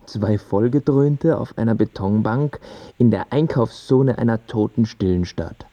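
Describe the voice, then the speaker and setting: A man's voice: on the low side, measured pace, warm tone, dry recording. An actor playing a brooding, lovesick young man in a radio drama, earnest and declamatory. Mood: drunk, bleak. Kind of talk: German radio drama acting. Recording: dull audio over music.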